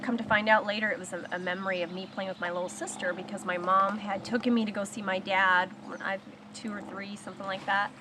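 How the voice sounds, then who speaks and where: Halting, soft-spoken woman telling her story. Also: mid-range voice, little pitch movement, noisy background. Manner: halting and reflective, with pauses and restarts; slows down and softens on painful memories. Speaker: abuse survivor sharing her story. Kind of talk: personal testimony in a support circle.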